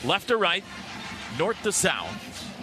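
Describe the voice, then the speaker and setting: A man's voice: sits high, very animated, takes it slowly, over music. A man calling football play-by-play on radio in clipped, vivid phrases that swell on big plays. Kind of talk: live football play-by-play commentary. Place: stadium broadcast booth. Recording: clearly noisy live stadium broadcast.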